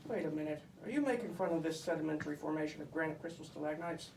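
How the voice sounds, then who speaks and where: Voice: mid-range voice, fast talker, gravelly, a little mellow, lightly acted. A boastful, bossy puppet kid telling comic Bible stories , voiced by a performer. The delivery is pompous, emphatic and easily exasperated. It is heard from a distance in an echoey room with faint noise. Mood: scornful, exasperated. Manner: pompous, emphatic, mock-scholarly.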